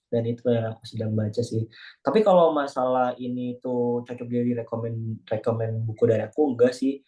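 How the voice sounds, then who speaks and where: A man's voice: medium pitch, fairly even intonation, a little fast, warm tone. A young man with an Indonesian accent chatting in a casual online class discussion, joking and self-deprecating. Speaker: class participant. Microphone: video call.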